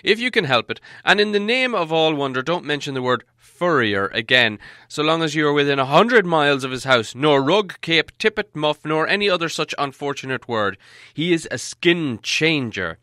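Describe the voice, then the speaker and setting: A man's voice: fairly high pitch, lively intonation, moderate pace, pushed and bright, dry recording, clean studio sound, voice pushed up. A man voicing a fussy, stern old male wizard who lectures and warns crossly. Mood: exasperated.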